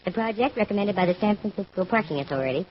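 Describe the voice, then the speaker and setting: A woman's voice: mid-range voice, animated, rapid-fire, close to an everyday voice. An American actress playing a wry, self-assured female private detective in 1940s radio style, breezy and teasing. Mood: matter-of-fact. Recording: dull, noisy vintage radio recording.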